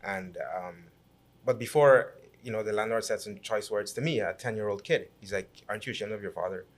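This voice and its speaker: A man from Guyana in his thirties, a podcast guest telling his life story in a lively, halting way with many restarts. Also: fairly low pitch. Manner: animated storyteller with frequent restarts and repeated words. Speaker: guest, businessman and city councillor. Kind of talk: conversational podcast interview.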